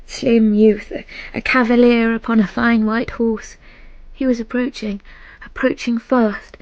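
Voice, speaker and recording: A woman playing a wistful young bride who recalls her past in a measured, reflective radio drama monologue. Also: fairly high pitch, gravelly, darkish tone, no room sound, highs cut off.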